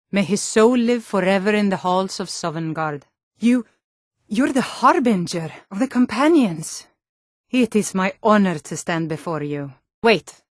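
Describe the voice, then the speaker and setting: A woman's voice: mid-range voice, no echo, natural voice. Woman acting a blunt female Nord guard, dry studio sound. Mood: gruff admiration. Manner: blunt, guarded, plain-spoken. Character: stern, blunt female Nord city guard, fantasy warrior. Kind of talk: video game character voice lines.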